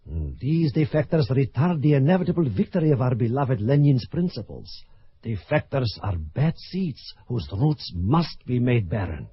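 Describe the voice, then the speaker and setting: An actor playing a stern Soviet commissar in a radio drama, speaking in a measured, coolly menacing way, on a dull lo-fi recording. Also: male voice, medium pitch, very animated, husky, no echo. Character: stern Soviet commissar, middle-aged man, cold and ideological. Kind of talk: old-time radio drama acting.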